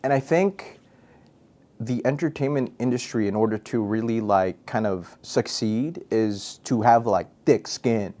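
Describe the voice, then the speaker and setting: Young man from Guam, radio host and MC, relaxed and playful, casual interview tone. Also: medium pitch, measured pace. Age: young adult. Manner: goofy, laid-back, chatty with fillers. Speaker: radio personality and MC, interview guest.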